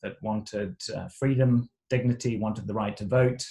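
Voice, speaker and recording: A middle-aged man from Zimbabwe, raised speaking Shona and English, telling his life story as an interview guest in a halting way, with many fillers and restarts. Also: on the low side, steady tone, vocal fry, dark tone. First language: Shona alongside English.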